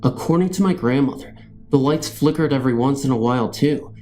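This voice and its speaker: A man's voice: medium pitch, brisk, mellow, faint music behind. A man reading scary stories as a narrator, in a scripted storytelling style.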